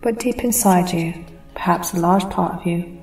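A woman reading a scripted hypnosis session in a slow, soothing, hushed and intimate way. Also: fairly low pitch, recorded from a distance. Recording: roomy echo, voice set back from mic, faint music bed.

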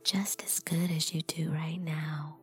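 A woman with a breathy voice that often slips into whispering, delivering scripted adult hypnosis audio in a dominant, deliberate, commanding manner. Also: sits low, level tone, a little mellow, faint music behind. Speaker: erotic hypnosis performer.

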